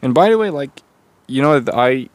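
A young man with a Persian accent, talking unscripted to a phone camera in a rambling, halting way full of fillers and restarts. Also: mid-range voice, animated. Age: young adult. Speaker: vlogger.

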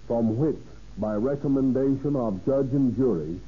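A man reading scripted 1930s radio narration in a formal, measured manner as a police chief narrator, on an old radio recording. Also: medium pitch, slightly rough, phone-quality sound.

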